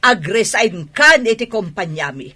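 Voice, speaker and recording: A woman with a husky voice and Filipino accent playing a fed-up, commanding wife in a radio drama. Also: medium pitch, sing-song, measured pace, pushed and bright, faint music behind, heavily acted. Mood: indignant. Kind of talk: Ilocano radio drama acting.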